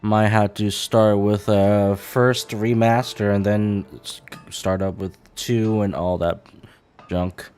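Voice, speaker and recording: A man's voice: fairly low pitch, little pitch movement, slow pace, a little mellow, clean tone, faint music behind. A man streaming a game, giving casual commentary in an offhand, rambling way. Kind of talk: casual live gameplay commentary.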